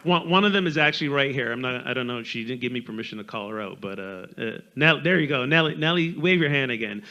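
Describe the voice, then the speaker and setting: A middle-aged man with a light Barbadian accent, speaking candidly and reflectively on a panel, in a halting way with repeated words. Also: medium pitch, expressive, bright, forceful tone, highs cut off.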